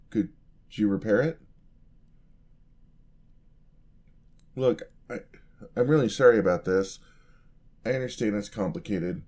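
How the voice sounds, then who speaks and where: Guy doing a sweet awkward young paladin for asmr roleplay, lowish voice, slow talker, stammers politely. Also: dark tone. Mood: earnest, pleading. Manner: hesitant, bashful, polite stammering. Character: clumsy, charming, earnest young paladin apprentice. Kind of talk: fantasy ASMR roleplay acting.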